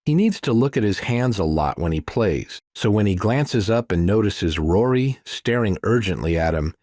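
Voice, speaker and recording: A man with a low voice reads a thriller as an audiobook narrator. The delivery is scripted storytelling, recorded very dry. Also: animated, normal speed, a little mellow, dry recording.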